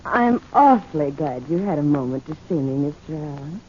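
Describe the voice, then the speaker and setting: Sly, coolly confident female blackmailer, 1940s radio drama: mellow, husky, insinuating. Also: low voice, expressive, normal speed, soft background music. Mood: coy, insinuating. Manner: coy, insinuating. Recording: muffled old 1940s radio recording.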